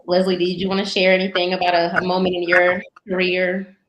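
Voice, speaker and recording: A woman's voice: on the low side, completely flat delivery, brisk, over a noisy crowd. A woman hosting a panel in a casual, encouraging, affirming conversational style, with roomy sound. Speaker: host moderating a panel.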